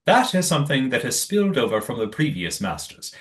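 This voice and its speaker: A composed and secretive master of a closed community in an audio drama. He speaks formally and evasively, with a mid-pitched voice and lively, swooping intonation. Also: a little fast. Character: calm, secretive male leader of a night-dwelling commune. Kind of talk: audio play acting.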